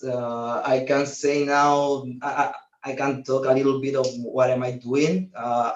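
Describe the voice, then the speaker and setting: A young man joins over a video call, halting and searching for his words as he describes his work. His voice sounds far from the mic in an echoey office, with dull, muffled audio. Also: medium pitch. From Chile. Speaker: alumnus guest. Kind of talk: casual remote testimonial.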